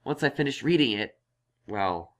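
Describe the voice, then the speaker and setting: A man's voice: fairly low pitch, lively intonation, normal speed, lightly acted. A man voicing a thoughtful, reassuring young male unicorn scholar in an audio story, explaining things earnestly. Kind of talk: acted audio story dialogue.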